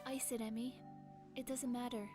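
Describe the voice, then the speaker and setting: Woman voicing a high-pitched, flatly soothing young girlfriend. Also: moderate pace, slightly rough. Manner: flat, emotionless, robotic delivery. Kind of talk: visual novel voice acting. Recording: music behind the voice. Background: music.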